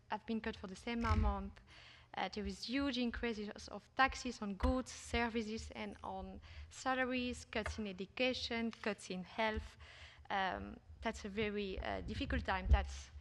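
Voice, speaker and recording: A woman's voice: mid-range voice, a bit bright, long reverb tail. A woman presenting her research paper at an academic conference, speaking hesitantly with many fillers and restarts. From Belgium. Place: conference hall.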